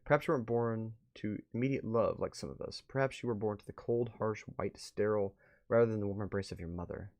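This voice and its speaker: A man's voice: lowish voice, fast, darkish tone. A man live streaming a game, moving between reading on-screen text aloud and offhand, muttered reactions.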